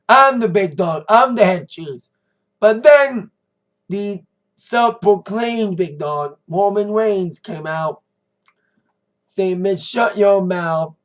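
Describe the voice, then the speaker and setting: Man, American accent, high pitch, slightly hoarse, informal vlog review, rambling, emphatic. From United States. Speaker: wrestling review vlogger. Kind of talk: casual recap commentary to camera.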